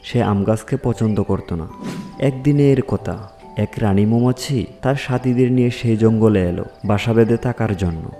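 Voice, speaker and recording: A man's voice: lowish voice, moderate pace, warm tone, faint music behind. A man narrating a scripted fairy tale for children as a storyteller.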